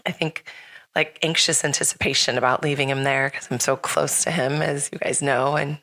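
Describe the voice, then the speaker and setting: A middle-aged woman hosting a chatty podcast, her voice low and gravelly with a lingering rasp from illness. Her tone is bright and forward, and she talks in a loose, rambling way full of fillers and restarts. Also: fairly even intonation, moderate pace. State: slightly raspy from recent illness. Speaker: podcast host. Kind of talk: casual chat.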